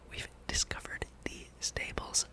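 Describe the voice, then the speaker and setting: Whispering ASMR gameplay streamer. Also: takes it slowly. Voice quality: breathy unvoiced whisper. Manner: hushed, playful musing, reads game dialogue aloud.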